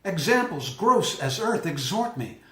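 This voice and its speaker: A man's voice: on the high side, big pitch swings, measured pace, a bit bright. A man playing a brooding, self-reproaching young prince in an earnest, declamatory Shakespearean soliloquy. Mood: resolute, pondering. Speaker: amateur actor. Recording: echoey room.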